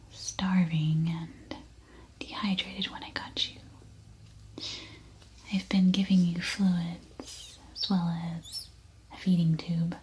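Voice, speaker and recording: A woman playing an unhinged, war-weary apocalypse survivor in a slow, breathy ASMR roleplay whisper. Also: lowish voice, poor audio. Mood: dry, caretaking. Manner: slow, hushed, halting delivery in short phrases.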